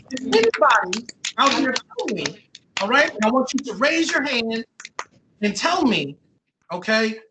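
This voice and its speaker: A high-pitched, bright voice of an author leading a children's read-aloud. The pitch swings in a lively way through questions and repeated answers. The delivery is encouraging and interactive, full of little check-ins. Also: slight background noise, low-quality recording. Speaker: author reading own children's book and quizzing children.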